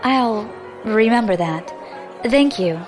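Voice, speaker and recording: Friendly young adventurer gal, kinda high bouncy voice, informal, audio drama. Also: female voice, animated, takes it slowly, over music, crowd noise. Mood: polite.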